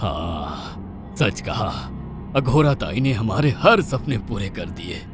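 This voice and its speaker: A man's voice: fairly low pitch, very animated, slow pace, over music, heavily acted. A man playing a greedy, gullible, scheming husband in a horror cartoon story, speaking dramatically. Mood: pleased.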